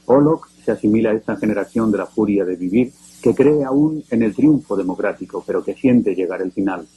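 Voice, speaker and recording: A man narrating a documentary in a grave, deliberate scripted voice. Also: fairly low pitch, animated, warm tone, slightly rough, poor audio.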